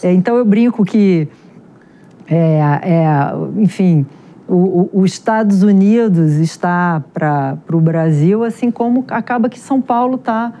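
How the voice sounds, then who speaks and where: A middle-aged woman with a carioca accent from Rio, a genetics researcher answering interview questions in a chatty, animated way with many fillers. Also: mid-range voice, measured pace. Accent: Carioca Portuguese. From Rio de Janeiro, Brazil.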